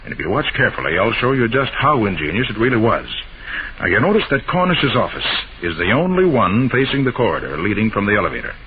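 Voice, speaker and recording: An actor playing a shrewd, confident master detective with an assured, emphatic delivery in an old-time radio drama. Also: male voice, lowish voice, big pitch swings, quick pace. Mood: confident, explaining. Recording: noisy, muffled old radio broadcast.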